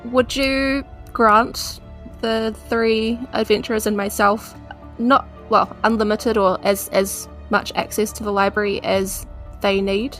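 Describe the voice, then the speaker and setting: A woman's voice: medium pitch, steady tone, slow pace, faint music behind. A woman with a New Zealand accent chatting casually as a player in a tabletop game podcast.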